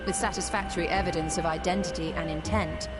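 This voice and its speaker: An actress playing a composed, shrewd scholarly adviser in a video game, with formal, precise, old-fashioned phrasing. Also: female voice, on the low side, fairly even intonation, quick pace, loud music behind the voice, lightly acted. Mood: composed, instructive. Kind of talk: video game cutscene acting.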